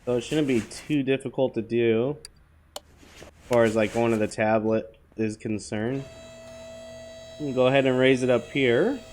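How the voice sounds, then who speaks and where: A man with a slightly hoarse voice, mid-pitched and easygoing, talks his viewers through a game in a loose, meandering way. Faint hiss and clicks sit under his voice. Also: steady tone, a little slow, pauses often, darkish tone. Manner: rambling, offhand, full of fillers. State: hoarse from a cold. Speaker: gaming channel host. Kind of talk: casual gameplay commentary.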